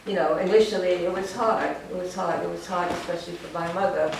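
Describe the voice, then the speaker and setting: An older woman, German her first language and raised in Romania, reminisces in a loose, halting way, full of fillers and dry jokes at her own expense. She is heard from some distance in a very echoey gallery. Steady room noise sits under her voice. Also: lowish voice, vocal fry. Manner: rambling, halting, with many fillers and wry self-deprecating laughs. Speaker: artist giving a gallery talk. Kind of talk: informal autobiographical talk.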